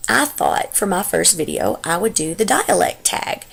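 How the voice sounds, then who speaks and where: A woman's voice: lowish voice, wildly swooping pitch, a little slow, gravelly, fairly bright tone. A young woman with a heavy Texas accent, chatting casually and rambling through a home vlog, recorded on her computer's webcam mic. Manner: chatty and rambling, many fillers. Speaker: stay-at-home mom vlogger. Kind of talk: casual unscripted vlog chat. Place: home, partly the living room.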